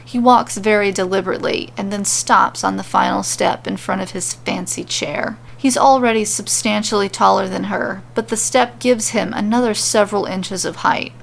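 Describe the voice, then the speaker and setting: A woman's voice: medium pitch, fairly even intonation, moderate pace, creaky, hardly any pauses. A woman narrating scripted comedic fan fiction as a storyteller.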